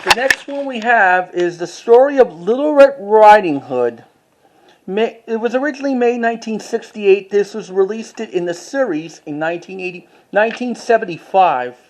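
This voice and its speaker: A collector giving a casual show-and-tell commentary, speaking haltingly with repeats and self-corrections. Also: mid-range voice, animated, a little mellow.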